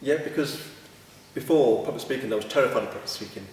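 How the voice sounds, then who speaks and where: A man with a monotone voice asking and answering interview questions in plain, easy speech. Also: mid-range voice, quick pace, a little hiss or hum. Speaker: club member. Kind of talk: casual interview. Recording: echoey hall from far off.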